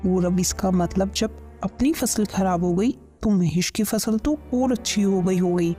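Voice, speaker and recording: A woman's voice: medium pitch, measured pace, warm tone, lightly acted. A woman playing a greedy, scheming village farmer's wife in a kids' cartoon, with a nagging, commanding manner. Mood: angry blaming. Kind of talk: Hindi kids' cartoon story dialogue. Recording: music under the voice.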